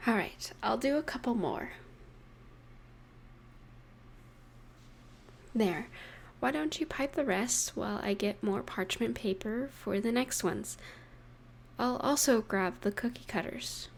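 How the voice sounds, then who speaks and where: Creaky, high-voiced, unhurried affectionate girlfriend played by a woman. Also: slight background noise. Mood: casual, focused. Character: affectionate, playful young girlfriend baking at home with partner. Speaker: voice actor in romantic audio roleplay. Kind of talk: intimate scripted roleplay addressed to the listener.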